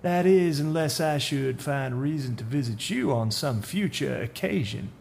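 A man voicing a sinister small, pale stranger who speaks slowly and with quiet menace. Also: medium pitch, animated, slight background noise, pitched above the performer's own voice. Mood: menacing. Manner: slow, smooth, quietly menacing.

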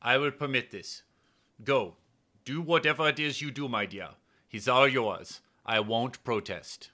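Man voicing a lovesick, defeated space officer, bright-edged. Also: medium pitch, pitched above the performer's own voice. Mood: resigned, tender. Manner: pleading, halting repetitions, courtly endearments. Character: lovesick senior male space officer, reluctantly resigned, tender.

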